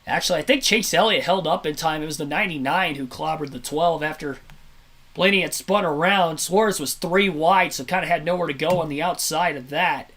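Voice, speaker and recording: A man with a hoarse, slightly nasal and raspy voice from sinus congestion, giving energetic, chatty play-by-play commentary on a race from a home streaming setup. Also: a little high, fairly quick. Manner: energetic, chatty, self-correcting. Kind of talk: amateur play-by-play commentary over a race broadcast.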